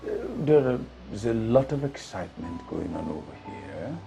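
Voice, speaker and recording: A man voicing an elderly father-in-law who explains events slowly. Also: low voice, very animated, vocal fry, heavily acted. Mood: explaining. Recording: music behind.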